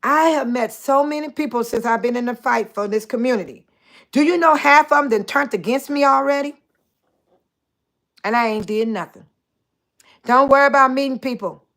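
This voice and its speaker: A woman's voice: high-pitched, normal speed, long pauses, fairly bright tone. Chatty sixty-something woman, laughs a lot. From Chicago area. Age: 60s. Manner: laughing often, chatty, talking directly to viewers by name. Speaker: livestream host. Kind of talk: casual livestream chat reading viewer comments.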